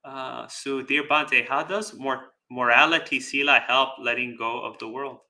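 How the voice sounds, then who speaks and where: A man giving a dharma talk as a Buddhist teacher, speaking deliberately with pauses, repeated phrases and rhetorical check-ins. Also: mid-range voice, steady tone, pushed and bright. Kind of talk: dharma talk with live chat questions.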